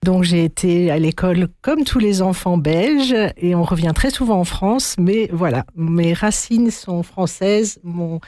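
Middle-aged female interview guest, unhurried, hesitant, dry close sound. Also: fairly low pitch, no room sound. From Belgium. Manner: warm, hesitant, many fillers. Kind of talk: interview answers.

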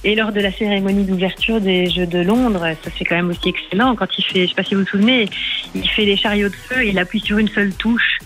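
Agreeable woman, medium-pitched, chatting on radio. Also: fairly even intonation, fast, fairly bright tone, soft background music. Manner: agreeable, chiming in. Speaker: quiz show contestant. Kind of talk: casual chat.

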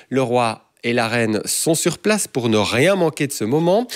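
A man presenting the radio news in a crisp, formal delivery. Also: fairly high pitch, dry recording, clean studio sound. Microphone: studio mic.

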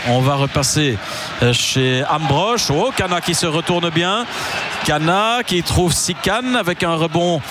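Halting football commentator. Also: male voice. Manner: halting, frequent "euh" fillers, rising pitch on attacks. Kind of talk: live play-by-play commentary. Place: commentary position in a stadium. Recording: commentary over clear stadium noise.

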